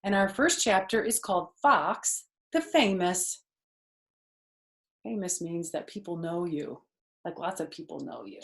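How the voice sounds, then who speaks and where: A woman's voice: on the low side, animated, unhurried, creaky. An older woman hosting a children's storytime, chatting and explaining things simply to young listeners on a video call from a porch. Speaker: storytime host reading a picture book to children. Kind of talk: children's read-aloud and chat with listeners. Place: outdoors on a back porch. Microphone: computer or device on a video call.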